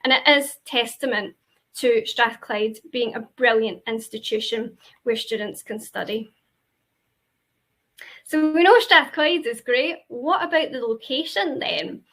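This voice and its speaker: A woman's voice: a little high, unhurried, a bit bright. A woman with a Glaswegian accent giving an enthusiastic presentation to prospective students, with frequent fillers. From Scotland. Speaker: university representative.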